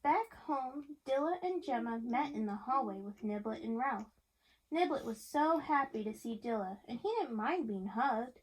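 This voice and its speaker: A woman reading a picture book aloud to young listeners in an animated way, chatting and asking them questions as she goes. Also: on the high side, normal speed, poor audio. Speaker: reader of a picture book to children. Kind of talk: read-aloud storytelling with chat to young listeners.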